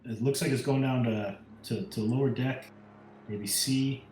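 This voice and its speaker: A man's voice: medium pitch, steady tone, a little slow, darkish tone, slight background noise. A man playing a tabletop roleplaying game, casually speaking as his character and thinking aloud hesitantly. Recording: roomy echo, music underneath.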